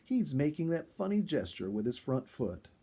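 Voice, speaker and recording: A man voicing a kindly, practical, animal-loving wife in a storybook, affectionate and gently teasing. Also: fairly high pitch, very animated, normal speed, breathy edge, heavily acted.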